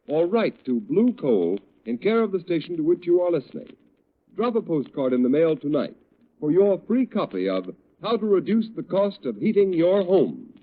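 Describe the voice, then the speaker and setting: A man announcing in a dramatic, declamatory 1930s radio style. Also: on the high side. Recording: tinny, muffled old radio recording.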